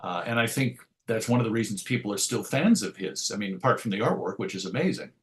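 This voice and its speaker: A man's voice: fairly low pitch, expressive, fast. A man speaking as a comics writer being interviewed, conversational and thoughtful, often rambling and correcting himself as he goes. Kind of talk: conversational interview answers, reflective.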